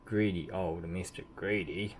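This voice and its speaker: Low-pitched man reading a children's storybook, noisy recording. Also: steady tone. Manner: deliberate emphasis, drawn-out key words. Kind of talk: expressive read-aloud storytelling. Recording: clearly audible background noise.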